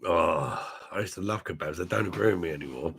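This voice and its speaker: A man hosting a live stream, chatting with his viewers in a rambling, crude way full of swearing, his voice hoarse because he is ill. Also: sits low, a little fast, fairly bright tone. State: hoarse, unwell. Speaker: livestream host reading chat. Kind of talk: casual crude drinking chat.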